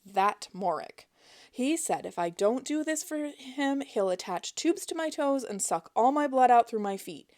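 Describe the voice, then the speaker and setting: A woman voicing a quick-witted young woman thief who talks boldly. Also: animated, darkish tone. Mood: fake panic. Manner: bold, fakes high-pitched panic.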